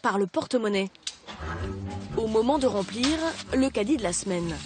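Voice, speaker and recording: A woman reading scripted voice-over narration for a television documentary report, in a measured, even delivery. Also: fairly high pitch, music in the background.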